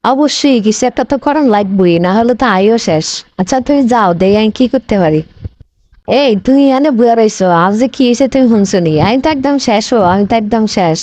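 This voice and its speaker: A woman's voice: fairly high pitch, lively intonation, fast, darkish tone, no room sound, natural voice. A woman playing a dramatic, blaming wife and shop boss in a comic cartoon, wailing and scolding in a regional Bangladeshi Bengali dialect. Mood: panicked, wailing. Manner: wailing, scolding, repetitive complaining.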